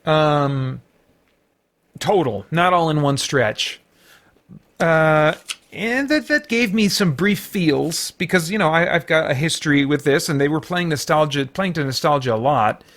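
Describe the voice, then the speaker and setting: A man giving an unscripted movie review as a host, speaking emphatically in a rambling, halting way with repeated false starts. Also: on the high side, lively intonation, a bit bright. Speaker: podcast host and film reviewer.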